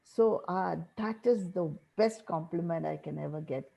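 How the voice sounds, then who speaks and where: Mellow older Indian woman telling stories. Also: lowish voice, measured pace, creaky. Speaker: guest, writer and translator. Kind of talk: conversational personal storytelling.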